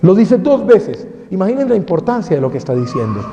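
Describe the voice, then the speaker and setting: High-voiced priest preaching in a hall. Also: male voice, fairly quick, slight background noise. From Colombia. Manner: animated preaching with rhetorical questions. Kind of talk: sermon. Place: parish hall. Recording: dull sound with long hall reverb.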